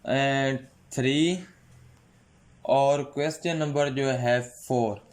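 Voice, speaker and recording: A male math teacher, giving a patient online lecture with a sore throat, clearing it now and then. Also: mid-range voice, steady tone. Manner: slow, patient, calls students "son" often.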